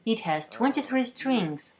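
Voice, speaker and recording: A woman reading a translated news voice-over at a measured, steady pace, recorded in a booth. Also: on the high side. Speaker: voice-over interpreter for a news feature.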